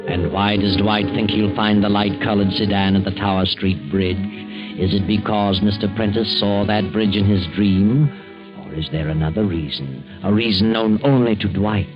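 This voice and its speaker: A man's voice: on the low side, fairly even intonation, moderate pace, close to an everyday voice. Casting: male actor for insinuating 1940s suspense narrator, knowing and dramatic, prominent music behind, dull vintage sound. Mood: ominous. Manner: dramatic, insinuating rhetorical questions. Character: ominous, knowing male mystery storyteller.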